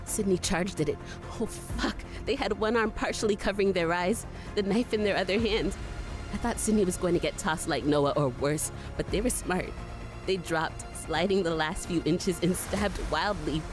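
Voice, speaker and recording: An actress plays a young woman battling monsters in a horror audio drama. Her voice sits slightly low as she tells it first-hand, sweary, shaken and conversational, with clearly audible music behind her. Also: normal speed, lightly acted. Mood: tense narration. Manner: sweary, breathless, conversational first-person storytelling. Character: gutsy, foul-mouthed young woman fighting monsters, narrating in shock.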